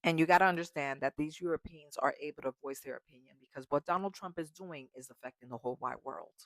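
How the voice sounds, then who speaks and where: A woman's voice: sits low, fast, no echo. A woman ranting in a travel vlog, emphatic and exasperated, swearing freely. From United States. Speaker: vlogger.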